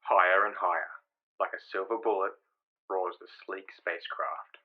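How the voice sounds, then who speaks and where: A man narrates with theatrical grandeur, as for a superhero comic. His pitch sits on the low side, and the sound is somewhat muffled and lo-fi. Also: flat delivery, normal speed, slight background noise. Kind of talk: dramatic scripted comic-book narration.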